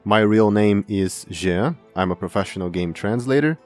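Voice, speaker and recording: A man's voice: on the low side, animated, moderate pace, a little mellow, faint music behind. A man hosting a podcast, a game translator whose first language is Brazilian Portuguese, chatting in a friendly, enthusiastic way. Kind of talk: casual podcast interview.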